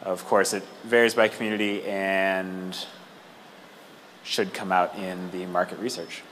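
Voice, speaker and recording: A man giving a measured readout at a meeting over a microphone, pausing between short phrases. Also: low voice, flat delivery, fairly bright tone, rough recording. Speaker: roundtable participant reporting back. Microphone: amplified meeting microphone. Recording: faint background room noise.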